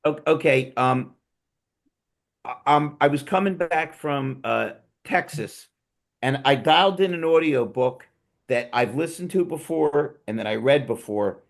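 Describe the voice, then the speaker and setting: A man's voice: on the high side, a little slow, gravelly. A man hosting a casual panel chat over a remote call, telling stories with enthusiasm and many restarts. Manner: rambling, enthusiastic storyteller with restarts. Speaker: podcast host.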